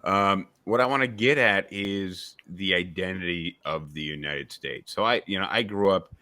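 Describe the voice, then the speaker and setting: A young man hosts a casual discussion podcast in a very low, bright-toned voice. He rambles as he thinks aloud, restarting sentences and filling gaps with "you know." His talk is loose and conversational. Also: normal speed. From suburbs of Albany, New York. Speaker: podcast host.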